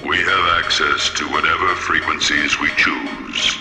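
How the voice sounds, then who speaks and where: An actor playing an ancient, imperious alien high priest in a science fiction radio drama, speaking in a solemn, portentous manner. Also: male voice, expressive, vocal fry, exaggerated voice. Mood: sinister, commanding. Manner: slow, portentous, formal. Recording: cavernous echo, music underneath.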